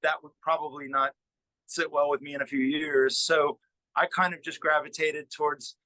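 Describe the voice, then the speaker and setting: Male interviewee, musician, bright forceful tone, dry close sound, casual, halting thinking-aloud delivery. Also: fairly high pitch, little pitch movement, no room sound, low-quality recording. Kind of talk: casual interview chat about own work.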